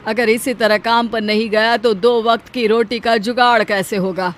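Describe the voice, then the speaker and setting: A woman's voice: a little high, steady tone, normal speed, lightly acted. A woman playing a worried, protective poor labourer husband in a Hindi moral cartoon story. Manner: fretful, protective.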